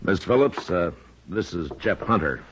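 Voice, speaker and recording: An American man playing a calm, courteous, steady lawman in a 1950s radio western, with an easygoing, laconic delivery. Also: sits low, normal speed. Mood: calm, affable. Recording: noisy, muffled vintage radio recording.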